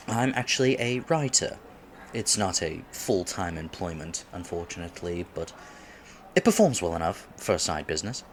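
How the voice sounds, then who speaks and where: Man playing a flustered young fox-man, lively swooping low voice. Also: a little hiss or hum. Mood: relieved, showing off. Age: 20s. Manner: flustered, stammering, politely formal. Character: nervous, polite young male silver fox kitsune, eager to seem mature. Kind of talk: ASMR roleplay, scripted one-sided date conversation.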